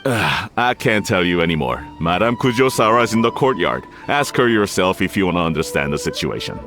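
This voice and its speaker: An actor playing a busy, dutiful samurai guard in a game, speaking formally and curtly with a weary edge. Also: male voice, low voice, big pitch swings, a little fast, music in the background. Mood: exasperated. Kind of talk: game cutscene voice acting. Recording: faint ambient noise behind the voice.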